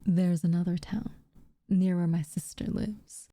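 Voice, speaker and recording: A woman playing an aloof, teasing but secretly tender witch in a soft, intimate ASMR roleplay, with a breathy, half-whispered delivery and dramatic pauses. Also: on the low side, fairly even intonation, no room sound, clean studio sound. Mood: scornful, reassuring.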